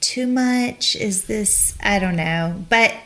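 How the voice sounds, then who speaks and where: A woman's voice: mid-range voice, a bit bright. A woman in her forties, an interior designer, chatting as a guest in a friendly, bubbly way with frequent ums. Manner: bubbly, laughs often, halting with ums. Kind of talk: casual conversational interview.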